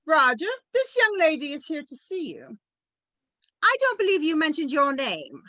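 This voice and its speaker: A woman's voice: sits high, very animated, moderate pace, bright, forceful tone, dry recording, exaggerated voice. A woman playing a haughty, icy society wife of about forty-five in a retro radio detective drama, with a pompous, clipped, disdainful manner. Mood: indignant, haughty.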